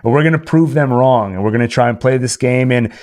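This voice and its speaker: A man's voice: medium pitch, quick pace, a little mellow. A man co-hosting a livestream, chatting casually as he plays a game. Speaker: livestream co-host. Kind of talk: casual chat while playing a game.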